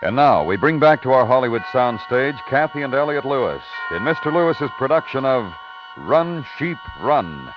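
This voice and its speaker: A man's voice: medium pitch, very animated, moderate pace. Formal male thriller announcer over music. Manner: formal, suspenseful. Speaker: radio show announcer. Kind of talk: 1950s radio thriller introduction. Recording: dull vintage radio recording.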